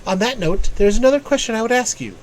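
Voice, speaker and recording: A man's voice: extremely high pitch, animated, quick pace, slight background noise, pitched above the performer's own voice, poor audio. A man voicing an analytical guild tactician from a game, reading the dialogue in a formal, precise, lecturing way. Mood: instructive, measured.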